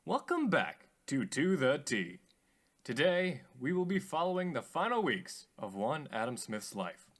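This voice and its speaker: A man's voice: a little high, a bit bright. Guy playing a showy radio host dude, eager, very up and down delivery, scripted mystery show. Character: polished, eager male radio host presenting mysterious tapes.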